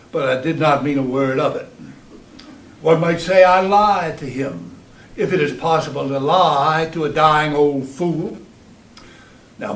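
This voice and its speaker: A man playing a bitter, sardonic Southern farmer from a fallen planter family in a stage monologue, with a dry, folksy drawl and a Southern US accent. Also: a little high. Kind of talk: theatrical monologue reading. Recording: roomy, distant microphone in hall.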